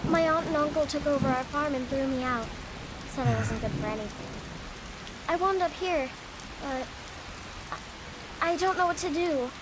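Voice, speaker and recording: An actress playing a timid, sorrowful orphaned beggar girl in a fantasy video game, halting and plaintive. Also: high-pitched, lightly acted. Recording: faint distant voice in hissy rain.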